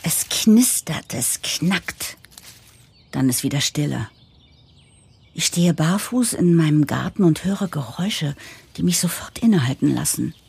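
An actress playing a wry, hopeful newcomer, confiding in the listener in a scripted first-person radio play narration with dramatic pauses and whispery asides. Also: female voice, low-pitched, expressive, creaky, a little mellow, breathy edge, heavily acted. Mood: confiding, suspenseful.

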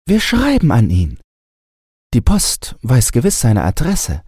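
A man's voice: medium pitch, huge pitch swings, slow pace, darkish tone, breathy edge, no room sound, clean studio sound, voice pushed up, full character voice. A man voicing a kindly, practical governess in a storybook, patient and reassuring with a child. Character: kindly, practical governess comforting a small girl.

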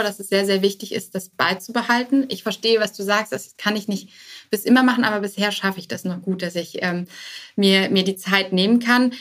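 Conversational female podcast guest, medium pitch. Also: fairly bright tone. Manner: informal, thinking aloud, mixes in English business terms. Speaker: podcast guest, start-up founder. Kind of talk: casual conversational interview.

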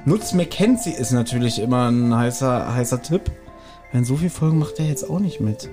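Male podcast co-host, chatty guesser, even middle pitch, faint music behind. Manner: chatty, thinks aloud, quick bursts. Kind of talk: casual podcast chat.

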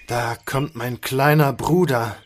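An actor playing a cheeky, self-assured older-brother prince in a fairy tale audio drama. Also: male voice, medium pitch, fairly even intonation, takes it slowly, natural voice. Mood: wry, assured.

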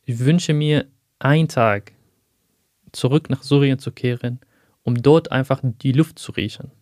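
Soft-spoken young man. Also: medium pitch, no echo, clean studio sound. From Syria. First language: Arabic. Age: young adult. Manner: soft-spoken, reflective, halting. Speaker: guest. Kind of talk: personal interview.

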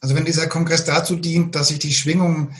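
A man hosting an interview, speaking calmly and affirming his guest. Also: a little high, brisk. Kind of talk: casual interview talk.

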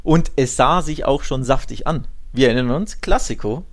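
A man chatting casually as a football podcast co-host, feeling his way through measured opinions and repeating himself. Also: mid-range voice.

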